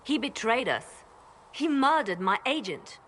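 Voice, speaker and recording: A cold, ruthless spymaster. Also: female voice, on the high side, animated, unhurried, fairly bright tone, a little hiss or hum. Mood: cold, bitter. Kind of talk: dramatic fantasy video game dialogue.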